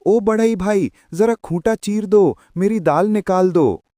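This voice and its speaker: A man voicing a tiny, plucky mother bird in a storybook folk tale, pleading in sing-song rhymes with drawn-out endings. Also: high-pitched, mellow, no echo, clean studio sound, voice pushed up.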